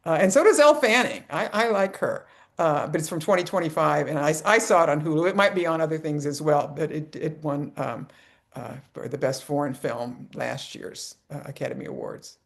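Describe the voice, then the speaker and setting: Halting speaker in a casual film chat. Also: mid-range voice, vocal fry. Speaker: film club member. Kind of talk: casual group discussion, informal film recommendation.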